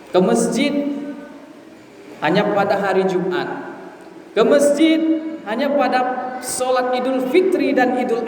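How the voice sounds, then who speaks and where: A man's voice: very high-pitched, a little mellow. A man delivering an impassioned, emphatic Friday sermon, exhorting listeners with rhetorical questions. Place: large reverberant mosque hall. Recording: very echoey hall, distant mic, hiss.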